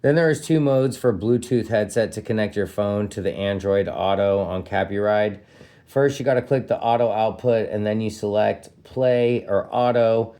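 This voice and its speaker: Low-pitched man, enthusiastic casual gadget reviewer. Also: mellow. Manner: enthusiastic, informal slang, punchy interjections. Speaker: product reviewer and host.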